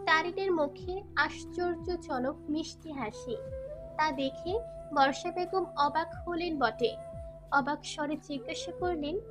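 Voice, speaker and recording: A woman's voice: sits high, slow pace. A woman narrating a scripted dramatic story. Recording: music behind voice.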